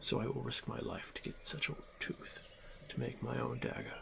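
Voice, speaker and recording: A man playing a stoic, honor-bound orc warrior who is gently protective, speaking in hushed, halting, formal phrases for a fantasy ASMR roleplay. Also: mid-range voice, fairly even intonation, vocal fry. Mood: grave, determined. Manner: hushed, halting, formal speech with frequent pauses. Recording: noisy track with night insect ambience. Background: crickets and insect ambience.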